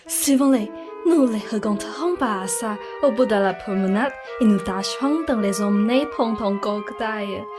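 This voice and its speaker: A woman's voice: mid-range voice, moderate pace, over music, lightly acted. A woman playing a scheming, money-minded middle-aged society mother in a comic radio play, instructing in an affected, insistent way. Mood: coaxing, calculating.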